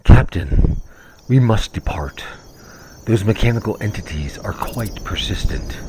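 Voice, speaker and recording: A man's voice: fairly low pitch, animated, measured pace, noisy background. A man playing an earnest, literal-minded science officer in a sci-fi comedy audio drama, speaking formally and precisely. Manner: formal, over-precise wording.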